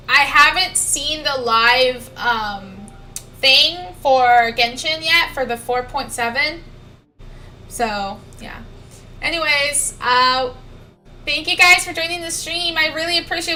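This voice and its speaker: A young adult woman with a bright, forward voice pitched a little high. She talks casually to viewers as a game streamer, with some music playing behind her. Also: poor audio. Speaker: game livestreamer. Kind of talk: casual livestream chat over gameplay. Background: background music.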